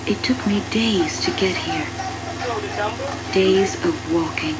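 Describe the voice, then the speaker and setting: A woman playing an anguished but determined mother in wartime, narrating a video game cutscene earnestly and deliberately. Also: fairly low pitch, rough recording. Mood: weary, determined. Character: determined, anguished mother searching a war-torn country for her daughter. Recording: loud music behind the voice. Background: loud music.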